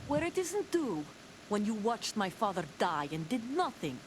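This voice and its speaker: A woman's voice: mid-range voice, sing-song, moderate pace, background noise, close to an everyday voice. An actress playing a hostile young female soldier who answers bluntly and threatens, in a video game cutscene. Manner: hostile, confrontational. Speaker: mercenary commander.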